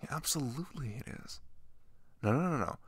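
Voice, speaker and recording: A man playing a shy, sweet young best friend in an ASMR roleplay, talking gently and hesitantly, close to the mic. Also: mid-range voice, lively intonation, fairly quick, slightly rough, dry recording. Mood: earnest, reassuring. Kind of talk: ASMR roleplay, soft intimate comforting talk. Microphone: close microphone.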